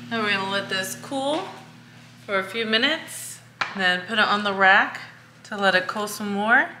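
A woman's voice: medium pitch, pushed and bright. A woman in her thirties hosting a home cooking video, walking through a recipe step by step in a casual way with a few fillers. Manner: slow, step-by-step instructions with fillers. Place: home kitchen, indoors. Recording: echoey kitchen with faint noise.

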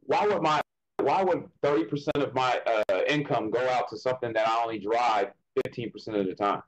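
A man's voice: medium pitch, fairly quick, low-quality recording. A man co-hosting a podcast, talking casually and bluntly, swearing freely. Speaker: podcast co-host. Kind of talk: casual chat.

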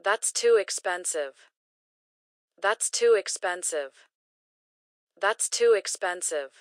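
A woman reading short English phrases slowly and carefully, each one repeated for learners to practice. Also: medium pitch, animated, no echo, clean studio sound. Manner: careful, clearly enunciated. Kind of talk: slow repeated phrase drills.

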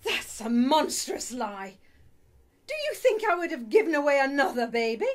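Bitter, high-voiced grieving mother played by an actress. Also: big pitch swings, moderate pace. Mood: bitter accusing. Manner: accusing, bitter. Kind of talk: radio play acting.

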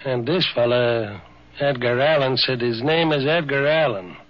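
A man recounting an anecdote conversationally, plain-spoken and a little halting. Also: mid-range voice. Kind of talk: conversational storytelling. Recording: dull, band-limited 1950s radio recording.

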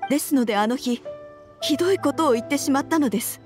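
A woman playing an earnest, troubled wife and mother in a video game, speaking politely and with measured care. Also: high voice, darkish tone, soft background music, lightly acted. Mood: troubled, recounting.

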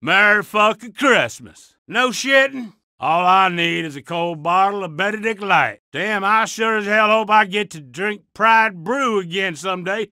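An actor playing a brash, aggressive country tough guy with a Southern accent, shouting profane game lines. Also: sits high, measured pace, few pauses, no echo, clean studio sound, full character voice. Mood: belligerent. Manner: loud, profane, belligerent. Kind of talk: video game voice barks.

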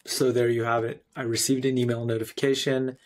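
A man in his thirties narrating a screencast tutorial in an instructional, clearly phrased way. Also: medium pitch, level tone, fairly quick. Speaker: software tutorial presenter.

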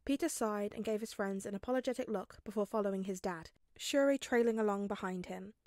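A woman narrating fan fiction in an expressive storytelling style. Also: mid-range voice, fairly quick, dry recording, clean studio sound.

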